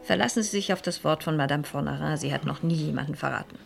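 An actress playing a shy but determined schoolteacher turned amateur sleuth in a radio play, speaking politely and persuasively. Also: female voice, low-pitched, quick pace, vocal fry, faint music behind. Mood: polite, insistent. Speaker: narrator and lead character. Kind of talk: 1970s German radio play acting.

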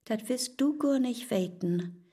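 A bold, cunning woman in a comic radio play, speaking northern Low German. Her husky voice swings widely from theatrical exclamations to conspiratorial near-whispers, all in rhyme. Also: lively intonation, slow, warm tone, full character voice.

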